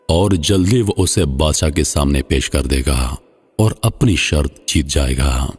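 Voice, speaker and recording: A man narrating a scripted moral folk tale in formal literary style, with a measured, solemn delivery. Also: extremely low pitch, darkish tone, breathy edge. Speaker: storyteller, narrator. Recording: long hall-like reverb, slightly muffled voice.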